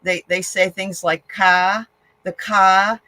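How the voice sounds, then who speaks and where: A woman's voice: mid-range voice, flat delivery, takes it slowly, pushed and bright, dry recording. A woman from the Boston area with a slight Boston accent, hosting a live interview in a chatty, encouraging way. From Boston area, Massachusetts. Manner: chatty, encouraging, frequent affirmations. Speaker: host. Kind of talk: casual live-stream interview.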